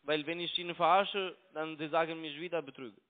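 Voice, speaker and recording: A man's voice: a little high, fairly even intonation, brisk. Pushy man speaking broken German over a phone. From Italy. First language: Italian. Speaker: call center trading broker. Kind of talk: phone call. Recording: tinny phone line.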